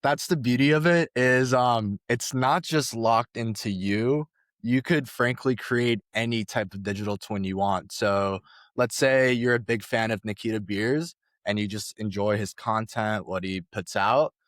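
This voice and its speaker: A man's voice: fairly low pitch, unhurried, fairly bright tone, dry recording, studio quality. A young man, a startup co-founder, explaining his product in a casual podcast interview with some fillers.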